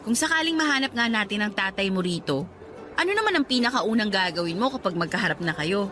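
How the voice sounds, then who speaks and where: An actress playing a bubbly, teasing young Filipina friend in a radio drama, with a playful, expressive Filipino accent. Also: female voice, medium pitch, fast. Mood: probing, reassuring.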